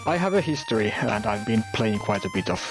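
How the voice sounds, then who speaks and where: A man's voice: on the low side, animated, brisk, music in the background. A Finnish man in his forties, a tournament player speaking English modestly and carefully in a casual chat. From Finland. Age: 40s.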